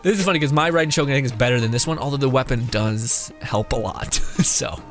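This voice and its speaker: A man's voice: medium pitch, fast talker. A man giving casual, jokey gameplay commentary as a streamer, swearing freely and repeating catchphrases. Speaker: game streamer. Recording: game music behind the voice. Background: video game music.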